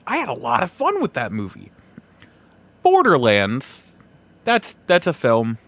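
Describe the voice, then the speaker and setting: A man with a slightly high voice and very lively, swooping intonation. He talks casually as a solo podcast host, giving opinions with emphatic repeats and halting breaks between phrases.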